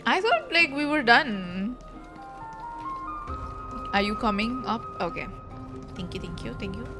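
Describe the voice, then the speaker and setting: Casting: female game streamer, higher register, informal off-the-cuff exclamatory reactions, prominent game music in background. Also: sing-song, a little slow. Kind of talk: casual live playthrough reaction commentary.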